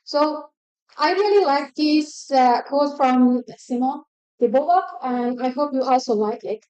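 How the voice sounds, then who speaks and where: High-voiced woman giving a talk. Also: darkish tone, smooth, rough recording. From Afghanistan. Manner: measured, with frequent hesitations. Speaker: guest speaker. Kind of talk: conference presentation. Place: event stage.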